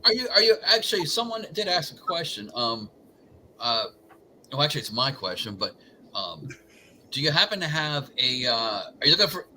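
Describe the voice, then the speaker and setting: Lively fifty-something male host. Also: a little high, moderate pace, background noise. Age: 50s. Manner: laughs often, hesitant with fillers. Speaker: show host. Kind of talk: casual interview chat.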